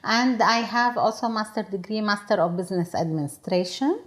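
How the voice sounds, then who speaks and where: A woman's voice: medium pitch, moderate pace. A woman from Syria, a hospital chief executive, answering interview questions in English in a hesitant way, with many fillers and restarts. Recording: roomy echo.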